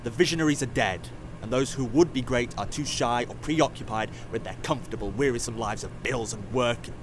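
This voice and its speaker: A man's voice: medium pitch, brisk, background noise, close to an everyday voice. A man in an audio drama playing a sardonic, weary, regretful scientist from the future who is centuries old. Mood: bleak, resigned.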